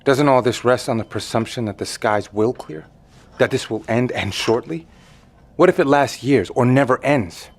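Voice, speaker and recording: A man's voice: medium pitch, normal speed, lightly acted. A man playing an indignant male survivor in custody who speaks in a skeptical, challenging way, in an audio drama.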